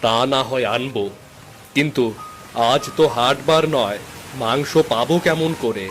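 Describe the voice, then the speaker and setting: A man's voice: mid-range voice, unhurried, fairly bright tone, close to an everyday voice. A man playing a worried, hard-up middle-aged village father in a Bengali cartoon, grumbling and resigned, over music. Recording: background music under the voice.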